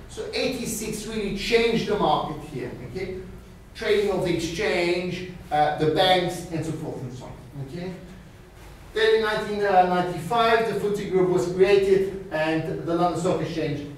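A middle-aged man from Austria, giving a university finance lecture in a small classroom, animated and checking in with "okay?". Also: sits high. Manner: animated, gestures widely, peppers talk with "okay?". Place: small classroom with screen and whiteboard. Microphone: far camera. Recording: very echoey room, from far off, faint hiss.

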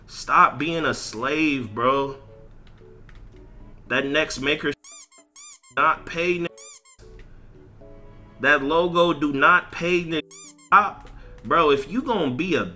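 A man's voice: fairly high pitch, slow, pauses often, pushed and bright, soft background music. An American man streamer ranting casually in slang with heavy swearing, emphatic and repeating himself. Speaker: streamer and content creator. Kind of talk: casual livestream rant over gameplay.

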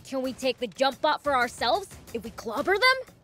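A pint-sized demon prince from a video game. His voice is high and very lively in pitch. He is brash and cocky, bossing everyone around with little patience. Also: normal speed, faint music behind, exaggerated voice. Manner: brash, impatient, bossy. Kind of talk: video game cutscene acting.

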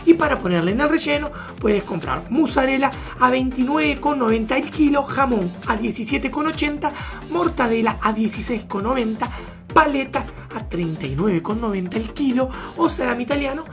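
A woman narrating a travel vlog voice-over, briskly listing supermarket prices one after another. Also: high voice, expressive. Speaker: travel vlogger. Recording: voice-over with music underneath.

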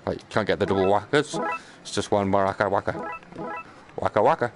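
Low-voiced British man grumbling over gameplay. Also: brisk, over music. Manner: grumbling, sarcastic, mild swearing. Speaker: gaming streamer. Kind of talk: casual live gameplay commentary.

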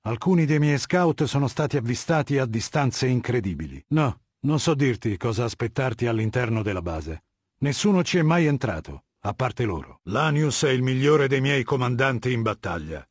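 An actor voicing a cold, haughty middle-aged warlord dictator in a video game, lecturing in a measured, commanding and disdainful way. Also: male voice, mid-range voice, expressive, breathy edge, dry recording, clean studio sound. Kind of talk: video game character dialogue.

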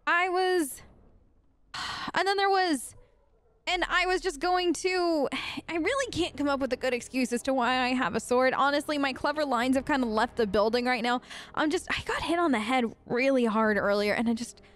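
A woman's voice: sits high, moderate pace, a bit bright. A woman doing casual, improvised role-play commentary on a game, flippant and chatty, joking in character. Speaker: let's play host.

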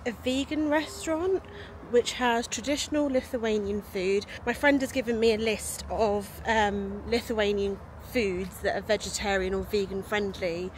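A woman's voice: mid-range voice, steady tone, measured pace, low-quality recording. A woman in her thirties, a travel vlogger, talking chattily to a handheld phone camera outdoors. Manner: chatty, upbeat, speaking straight to camera. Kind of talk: casual travel vlog narration. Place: outdoors on busy city streets and park paths. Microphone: handheld phone camera at arm's length. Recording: outdoor phone recording with street noise. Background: traffic and street noise.

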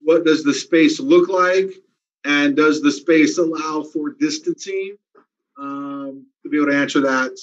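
A man's voice: on the high side, a little slow. Man presenting on a dull video call. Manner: steady, many "um" fillers. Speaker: school district administrator. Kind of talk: town hall presentation over video call. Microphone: video call webcam.

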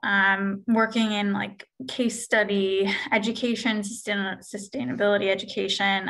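A woman's voice: mid-range voice, gravelly, sharp tone, rough recording. A woman in her twenties, introducing herself on a video call in an upbeat, chatty way. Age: 20s. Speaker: panelist. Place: small room indoors.

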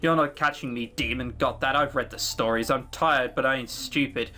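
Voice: mid-range voice, fairly even intonation, normal speed, sharp tone, pitched below the performer's own voice, close to an everyday voice. A let's player voicing a tired, suspicious young traveller boy in a visual novel game, blunt and folksy. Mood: wary, defiant.

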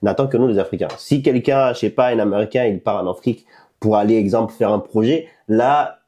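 A young man interviewing someone conversationally, haltingly repeating words as he searches, recorded on a lapel mic indoors. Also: fairly low pitch, warm tone, breathy edge. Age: young adult. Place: small meeting room, indoors. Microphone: clip-on lapel mic.

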